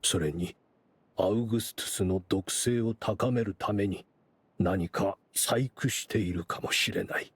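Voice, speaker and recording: An actor playing an aging, weary, grave man with a husky, worn voice in a Japanese game. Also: on the low side, a little slow, no room sound. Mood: grave, deliberate. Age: older.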